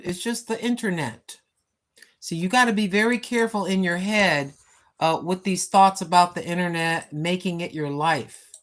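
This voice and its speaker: A woman's voice: medium pitch, a bit bright. Woman in her sixties, live-stream host, rambling, chatty, lots of fillers, talking to viewers from home. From United States. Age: 60s. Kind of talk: casual live-stream chat. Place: home, seated. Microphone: phone.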